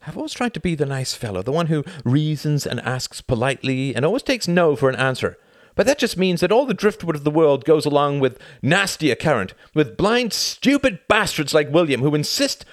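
A man's voice: low-pitched, moderate pace, darkish tone, dry recording, studio quality. A man voicing a sardonic, articulate male friend in an audiobook, reasoning and lecturing with emphatic exasperation. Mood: exasperated anger.

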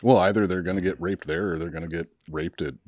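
A man co-hosting a podcast, tossing in quick wisecracks during casual banter. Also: deep voice, fairly even intonation, a little mellow, no echo, clean studio sound. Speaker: podcast co-host.

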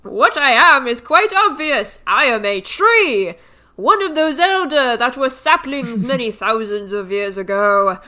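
A woman voicing an ancient, lonely talking tree, who speaks slowly, loudly and with grand formality. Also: fairly high pitch, expressive. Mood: grandiose. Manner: slow, loud, grandly formal.

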